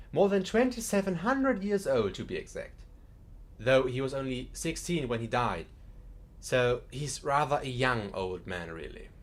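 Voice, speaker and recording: Man acting genial museum curator, animated intonation, mid-pitched, chatty, macabre dry humour, audiobook drama. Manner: chatty, dryly joking storyteller.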